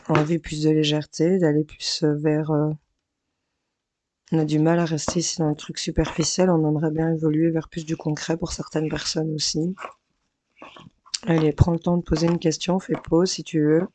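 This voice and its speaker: A woman doing a chatty daily tarot reading for each star sign, hoarse from losing her voice, hesitant and addressing viewers as friends, with her mic set a bit farther away. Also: sits low, flat delivery, normal speed, long pauses, mellow, a little hiss or hum. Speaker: tarot card reader. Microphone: mic placed farther away.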